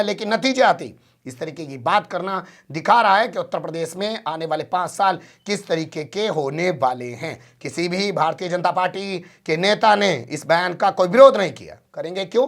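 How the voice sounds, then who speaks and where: A man's voice: high voice, creaky. A man presenting news commentary in an emphatic way, posing rhetorical questions as he goes.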